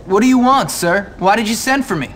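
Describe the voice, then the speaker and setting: An actor playing a cocky, sarcastic teenage schoolboy in a video game, brash and sardonic. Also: male voice, high-pitched, a little fast, fairly bright tone, a little hiss or hum. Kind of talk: video game cutscene acting.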